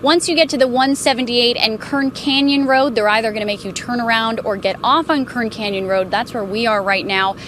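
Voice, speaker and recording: An American woman with a fairly high voice delivers broadcast news reports. Her delivery is emphatic and deliberate. Clear location noise with vehicle ambience sits behind her. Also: a bit bright.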